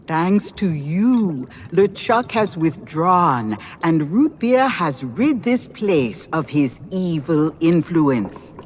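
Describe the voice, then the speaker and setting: An actress playing a calm, knowing mystical seer in a video game, speaking in a measured, portentous and formal way. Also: female voice, on the low side, a little hiss or hum. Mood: knowing, welcoming. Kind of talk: video game character acting.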